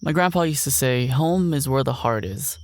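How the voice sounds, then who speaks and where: A man's voice: medium pitch, moderate pace, slight background noise, close to an everyday voice. A young man playing an earnest, lonely ranch heir, speaking a weary, reflective monologue in an audio drama. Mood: wistful.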